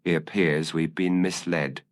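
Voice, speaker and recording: Man doing a detective's polite victorian companion, deep voice, formal, curious, audiobook style. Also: steady tone, a little slow. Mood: questioning. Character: loyal, inquiring Victorian gentleman, the detective's companion.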